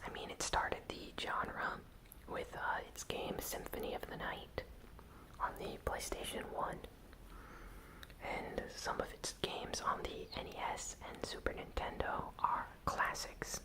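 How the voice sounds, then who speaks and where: Whispering ASMR news host. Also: rough recording. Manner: soft, unhurried whispering with gentle pauses. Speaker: host of a gaming news channel.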